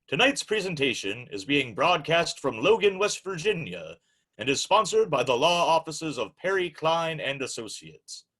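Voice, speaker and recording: A man's voice: on the high side, sing-song, normal speed, a bit bright. A man playing a formal, courteous, easily flustered host of an old-time radio show, with theatrical delivery. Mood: formal, welcoming.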